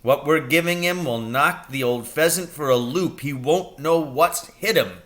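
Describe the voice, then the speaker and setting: Man acting a bright-voiced, excitable, affectionate father. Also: a little high, a little slow. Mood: eager, confident. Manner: exclamatory, fond endearments. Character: excitable, dreamy, affectionate father scheming at poaching.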